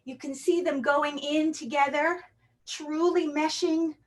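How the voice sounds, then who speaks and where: A woman's voice: high-pitched, steady tone, unhurried. A woman from Hawaii performing as a magician for an online show, with playful, jokey stage patter and a rhyming story.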